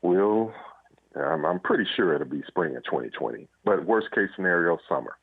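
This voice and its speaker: A low-pitched man speaks as an interview guest over a narrow, phone-like line. He answers casually and haltingly, in short phrases with pauses between them. The sound is dry, with no room echo. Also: very animated, vocal fry, slightly rough. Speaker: interview guest, crime fiction author and police officer.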